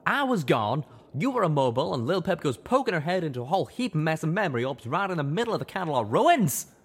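A man voicing a loud, hot-tempered young male pegasus who rants in a country drawl, pitched well above his own voice. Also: big pitch swings, measured pace, full character voice. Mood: angry shouting. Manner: yelling, ranting.

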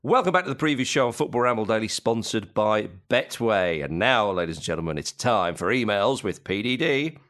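A man with a fairly low voice presents a football podcast. He moves between casual banter and announcing the next segment. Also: lively intonation, brisk, few pauses, fairly bright tone, faint music behind. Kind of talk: casual banter and show links.

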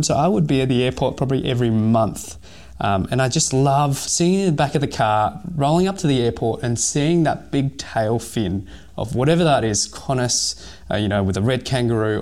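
Gravelly man from Hong Kong, rambling. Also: medium pitch, a little mellow. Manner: rambling, many fillers and restarts. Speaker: guest, physiotherapist and pilot. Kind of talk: casual podcast interview.

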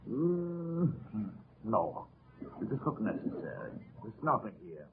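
An actor playing a weary, frustrated army captain in an old radio drama. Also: male voice, mid-range voice, big pitch swings, takes it slowly. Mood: dismissive. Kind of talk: 1950s radio drama acting. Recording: muffled, noisy old radio recording.

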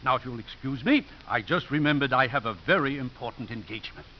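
A gentle old priest voiced by a male actor with very expressive, rising and falling speech. He is courteous and dryly funny in a 1950s radio play, on a dull recording with faint hiss. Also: medium pitch, quick pace, lightly acted. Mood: gently wry.

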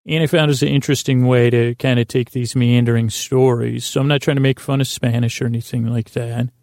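A man with a gravelly, mellow voice hosts a bedtime podcast. He rambles in a soothing, low-key way, stumbling and doubling back on his own words. The recording is close and dry. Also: flat delivery, dry recording. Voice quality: creaky. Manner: rambling, halting, self-correcting. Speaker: sleep podcast host. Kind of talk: meandering bedtime monologue.

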